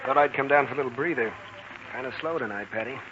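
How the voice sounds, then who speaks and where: An actor playing a preoccupied young intern doctor, casual and low-key, in 1940s radio drama style. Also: male voice, quick pace, lo-fi sound, music in the background, natural voice. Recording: old radio recording, faint noise.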